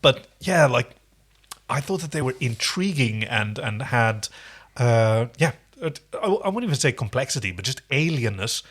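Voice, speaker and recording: A man with a very animated, swooping delivery and a mid-pitched voice. He talks informally and enthusiastically, like a podcast co-host reviewing something he enjoys. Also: normal speed. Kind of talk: casual episode review chat.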